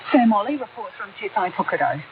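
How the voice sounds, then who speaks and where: Female New Zealand news host, direct interviewer, mid-pitched, coming over a phone-thin shortwave signal. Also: lively intonation, quick pace, far from the mic. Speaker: radio current affairs host. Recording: narrow, noisy shortwave radio broadcast.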